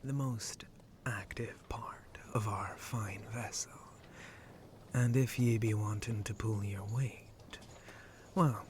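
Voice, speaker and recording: A man acts out a roguish shark pirate captain in an ASMR roleplay, over clearly audible background noise. The voice is lowish and breathy, often dropping to a whisper. He drawls slowly in teasing, flirty pirate speech. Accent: put-on pirate speech. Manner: slow, teasing, drawling pirate talk with low chuckles. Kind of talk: ASMR roleplay addressed to the listener.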